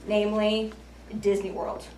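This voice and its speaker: A woman's voice: medium pitch, flat delivery, a little slow, darkish tone, slight background noise. A woman in her late twenties giving a prepared, self-deprecating speech with light jokes at a speaking club. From United States. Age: 20s. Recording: echoey room from far off.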